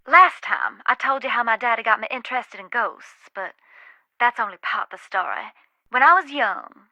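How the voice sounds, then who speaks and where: Bright-voiced, folksy Southern ghost hunter. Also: female voice, a little high, dry recording, low-quality recording. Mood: confiding, wistful. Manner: folksy, storytelling, emphatic. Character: haunted Southern woman, a warm, wary ghost-hunting spirit medium. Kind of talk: dramatic video game dialogue.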